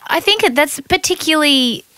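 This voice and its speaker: A young Australian woman co-hosting a podcast, an animated storyteller who says she has a loud voice. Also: sits high, normal speed, dry recording. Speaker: podcast co-host, speaker and basketball coach. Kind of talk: casual chat.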